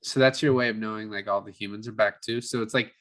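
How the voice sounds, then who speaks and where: A man's voice: fairly low pitch, fairly even intonation, quick pace, fairly bright tone. A man co-hosting a movie review podcast, chatting casually about films. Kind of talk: casual film discussion.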